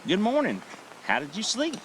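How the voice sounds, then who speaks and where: A man's voice: high voice, wildly swooping pitch, normal speed, a bit bright, slight background noise, exaggerated voice, low-quality recording. A man playing a chatty, evasive bed-and-breakfast host in an audio drama. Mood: chirpy, fussy.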